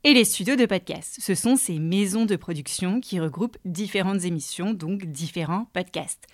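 Woman, podcast host, lesson style, playful direct address, lowish pitch, dry recording. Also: normal speed, no echo.

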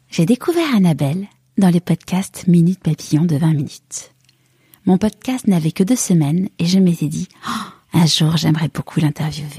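Husky low-voiced woman hosting a podcast. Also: warm tone, dry recording, clean studio sound. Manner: hesitant and searching when asking questions. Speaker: podcast host. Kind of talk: scripted intro, then interview questions.

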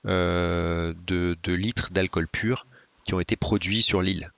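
A man telling stories in a casual chat, hesitant, with many pauses and restarts. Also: low voice, fairly even intonation, a bit bright, no echo. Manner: hesitant, many filler sounds and restarts, storytelling. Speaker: podcast guest.

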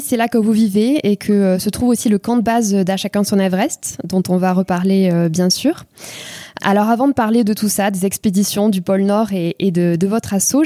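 A woman's voice: mid-range voice, mellow, dry recording, studio quality. A woman hosting an interview podcast, presenting and putting questions to her guest. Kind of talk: scripted podcast introduction and interview.

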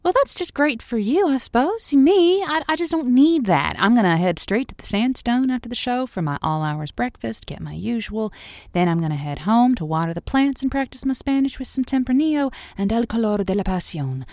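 A woman's voice: on the high side, animated, a little fast, a little mellow, dry recording. A woman playing a flirtatious, worldly host of a late-night romance call-in show in an audio drama, with theatrical, florid delivery. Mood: defensive, wry. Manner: theatrical, florid storytelling with wry flourishes. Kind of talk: semi-improvised audio drama, late-night radio monologue. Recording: music playing behind the voice.

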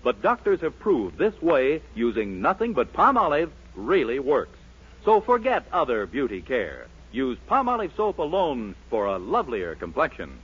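A man with a husky voice delivering scripted 1940s radio announcements. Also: mid-range voice, huge pitch swings, moderate pace, fairly bright tone. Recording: dull 1940s radio recording.

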